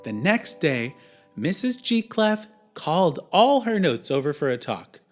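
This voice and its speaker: A man's voice: fairly high pitch, big pitch swings, measured pace. A man who teaches music, narrating a story for young children.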